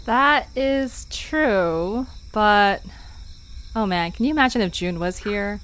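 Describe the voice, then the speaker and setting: A woman's voice: mid-range voice, lively intonation, takes it slowly, a bit bright, smooth. A woman hosting a fiction podcast, chatting casually in a playful, informal way. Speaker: host and creator of a fiction podcast. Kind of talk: casual chat.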